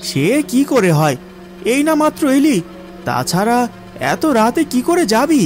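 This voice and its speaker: A man voicing an insistent, evasive friend in an animated story, speaking in a familiar, chiding way. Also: very high voice, unhurried, faint music behind, pitched above the performer's own voice, full character voice. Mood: concerned. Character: insistent, evasive young male village friend. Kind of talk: animated story character dialogue.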